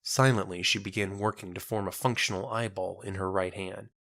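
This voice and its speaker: A man narrates a scripted drama in a lowish voice with a bright, forceful edge. The sound is close and dry, as in an audiobook. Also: fairly even intonation, fairly quick, gravelly, no room sound.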